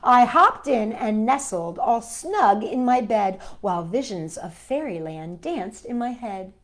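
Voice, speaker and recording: A woman hosting a children's storytime, reading aloud and chatting in a playful, expressive way and putting questions to her young listeners. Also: a little high, measured pace. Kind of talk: animated read-aloud and chat for young children.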